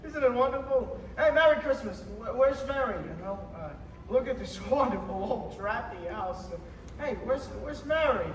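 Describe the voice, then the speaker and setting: A man's voice: very high voice, lively intonation, moderate pace, background noise. A young man acting in a school radio play as an overjoyed, exclamatory family man, stammering with relief. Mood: giddy, relieved. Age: young adult. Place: school theatre stage. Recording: echoey theatre stage, distant microphone.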